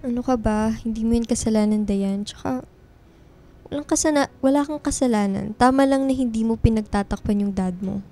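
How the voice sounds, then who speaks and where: A woman's voice: fairly high pitch, brisk, dark tone, lightly acted. A woman playing a caring, easily flustered young friend in a Filipino radio drama. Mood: comforting.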